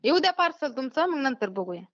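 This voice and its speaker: A woman's voice: sits high, very animated, fast talker. A woman with a Maharashtrian accent speaking bluntly and full of questions in a casual phone conversation. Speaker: YouTuber receiving a prank call. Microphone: phone call. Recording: dull, lo-fi phone call recording.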